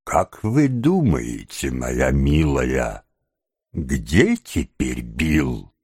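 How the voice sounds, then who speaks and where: A man voicing a scheming old male gang boss in an audiobook, with slow, drawn-out, theatrical speech, by turns wheedling and vicious. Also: deep voice, sing-song, no room sound, clean studio sound, heavily acted. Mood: sly, wheedling. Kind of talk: dramatised audiobook character.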